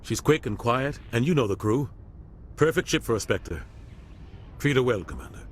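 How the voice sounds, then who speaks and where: An actor playing a weary, dutiful middle-aged military captain in a video game, speaking gravely and earnestly. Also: male voice, mid-range voice, animated, normal speed, slight background noise, close to an everyday voice. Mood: firm, steady. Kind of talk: video game dialogue acting.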